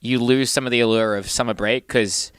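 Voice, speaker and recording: A man chatting casually as a podcast guest, in a halting way that often repeats phrases. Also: medium pitch, steady tone, a bit bright, clear, resonant tone, dry recording, clean studio sound. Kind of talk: casual chat.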